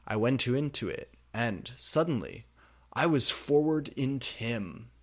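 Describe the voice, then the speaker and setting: A man reading fan fiction aloud with deliberate, theatrical, comedic narration. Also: medium pitch, animated, a bit bright.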